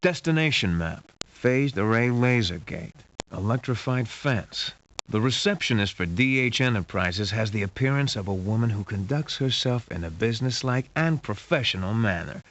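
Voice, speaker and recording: A man's voice: fairly low pitch, expressive, moderate pace, fairly bright tone. A man playing a wry hard-boiled detective who narrates a sci-fi adventure game in a dry, dramatic, scripted noir style. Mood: ominous, matter-of-fact. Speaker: game narrator and lead character. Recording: muffled lo-fi game audio with hiss.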